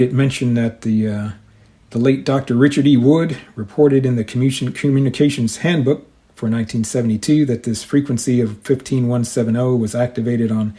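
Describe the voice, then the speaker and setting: A man with a soft-edged, mellow voice at a middling pitch talks as a hobby show host. His delivery is informal and explanatory, a casual monologue that moves along a bit haltingly. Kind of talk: casual hobbyist monologue with some reading aloud.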